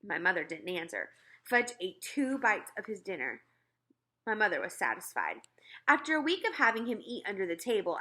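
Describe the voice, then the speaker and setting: A woman reads a children's novel aloud. Her voice is bright and forward, at an average pitch, and her narration is expressive.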